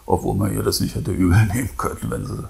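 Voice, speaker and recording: A man in his sixties, a lawyer, recalls things haltingly in an interview, speaking into a lapel microphone in an office. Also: on the low side, steady tone, creaky, a little mellow. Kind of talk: spontaneous interview recollection. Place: office with file shelves.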